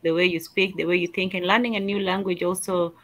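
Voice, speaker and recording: A woman on a video call speaking in a measured, thoughtful way, often repeating words while she finds her thought. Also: fairly low pitch, level tone, a bit bright. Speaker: discussion panelist. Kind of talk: thoughtful conversational commentary.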